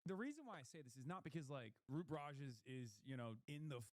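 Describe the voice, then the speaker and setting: A man's voice: mid-range voice, sing-song, fast. A man from Michigan giving casual, profane live-stream commentary on a political debate, often mocking people with put-on quotes.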